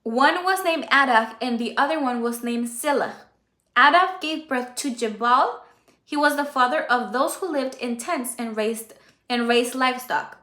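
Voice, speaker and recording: A woman's voice: high-pitched, unhurried, pushed and bright. A woman whose first language is Spanish, hosting a casual Bible study livestream, chatty and correcting herself as she reads. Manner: chatty, self-correcting, stumbles over names. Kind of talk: casual Bible reading and commentary. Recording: echoey room.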